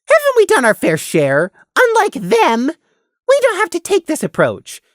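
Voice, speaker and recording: Woman voicing a squeaky, wry little hoofed creature. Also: huge pitch swings, normal speed, no echo, clean studio sound, exaggerated voice. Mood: weakly pleading. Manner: wry, pleading, argumentative. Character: small male hoofed creature, weak-grinning, morbid, self-serving worrier. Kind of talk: fan fiction audiobook character voice.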